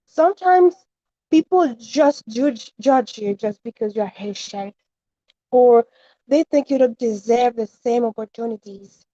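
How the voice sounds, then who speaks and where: A teenage girl from the Dominican Republic speaks earnestly and steadily about her own experience. Her voice sits a little high but sounds warm and mellow. The sound is dull and lo-fi, like an online call. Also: a little slow, no echo. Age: teens. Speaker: student. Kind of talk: class discussion answer. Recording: muffled lo-fi online call audio.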